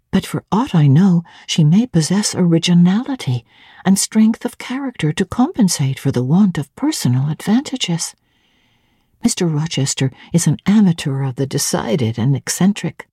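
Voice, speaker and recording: Dark, low-voiced woman narrating classic literature. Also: slightly rough, dry recording, studio quality. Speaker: audiobook narrator. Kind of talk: dramatic literary reading.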